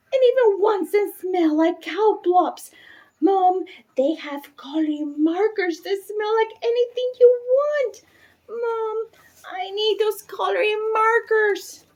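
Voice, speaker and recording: A woman voicing a whiny, insistent little girl in a storybook, wheedling with drawn-out pleas. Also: very high-pitched, darkish tone, voice pushed up. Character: pleading, whiny little girl wanting markers.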